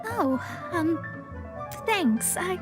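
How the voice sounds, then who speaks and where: Proud, flustered high-voiced young astrologer. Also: female voice, big pitch swings, drawn-out words, full character voice. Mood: awkward. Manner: haughty, theatrical, stammers when flustered. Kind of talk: anime-style video game voice acting. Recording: game music behind the voice. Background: game music.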